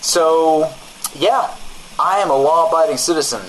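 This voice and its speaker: A man's voice: fairly high pitch, a little slow, slight background noise, rough recording. A man co-hosting a comedy radio show in character, bantering in an evasive, self-righteous way. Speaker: radio co-host.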